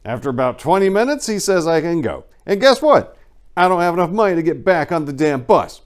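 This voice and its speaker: Animated man reading a story dramatically. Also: fairly high pitch, brisk. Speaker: host and story reader. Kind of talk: dramatic first-person memoir reading.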